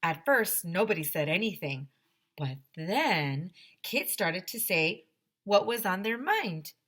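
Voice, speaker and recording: A woman teacher reading a children's storybook aloud, animated and emphatic, speaking directly to her young listeners. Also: fairly low pitch, slow pace, breathy edge.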